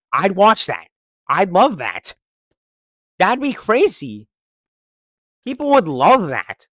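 A young man in a living room, rambling excitedly in slangy casual talk into a handheld mic and restarting his sentences. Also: fairly high pitch, no room sound, clean studio sound. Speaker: vlogger. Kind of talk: casual opinion vlog. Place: living room, seated in armchair. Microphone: handheld mic held close.

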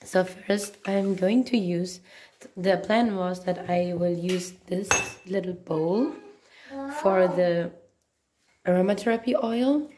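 A woman with a deep, husky voice, hoarse from a cold, explaining each step of a craft tutorial, with small slips. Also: takes it slowly, dark tone, a little hiss or hum. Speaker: craft channel host.

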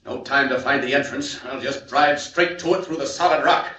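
A man's voice: medium pitch, normal speed, pushed and bright, lightly acted. An actor playing a bold, urgent male superhero in a 1940s radio serial. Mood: alarmed. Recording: echoey, distant, noisy 1940s radio recording.

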